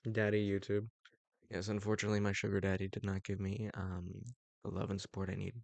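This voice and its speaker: A man's voice: lowish voice, monotone, fairly quick, creaky, darkish tone, no room sound. A young man chatting casually on a livestream, rambling with lots of fillers and restarts and chuckling often. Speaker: streamer.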